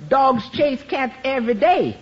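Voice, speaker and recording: An actress playing a folksy middle-aged housekeeper in a 1950s radio sitcom, with a drawling delivery. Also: female voice, sits high, animated, measured pace, slight background noise. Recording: dull 1950s radio recording.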